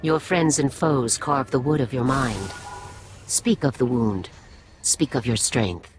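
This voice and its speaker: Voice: mid-range voice, slow, close to an everyday voice. An actor playing a serene, solemn alien mystic healer in a video game, speaking in ceremonial, cryptic phrases. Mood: grave, serene. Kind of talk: video game dialogue acting.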